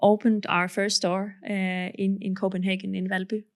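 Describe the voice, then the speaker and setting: A woman's voice: medium pitch, flat delivery, moderate pace. A woman from Finland with a Finnish accent, talking about her business as a podcast guest, hesitant with many fillers and restarts. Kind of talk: casual interview.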